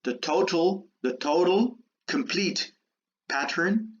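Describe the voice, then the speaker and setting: Slow, halting male lecturer. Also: fairly high pitch, lively intonation. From Netherlands. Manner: halting, many fillers and restarts. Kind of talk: university lecture. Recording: dull lo-fi lecture audio.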